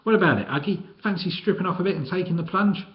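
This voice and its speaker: A man's voice: high-pitched, fast, dull audio, pitched above the performer's own voice, close to an everyday voice. A man voicing a scrawny, sniggering old male petty crook in a storybook reading. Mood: chummy.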